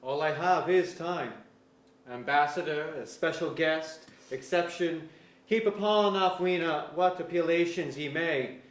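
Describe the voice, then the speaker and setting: A man's voice: on the high side, a little slow, lightly acted. A man playing a bookish, resentful captive scholar in an archaic verse drama, formal and wry. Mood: wry resentment. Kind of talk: staged reading of pseudo-Elizabethan verse drama. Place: museum auditorium, live reading. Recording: echoey auditorium.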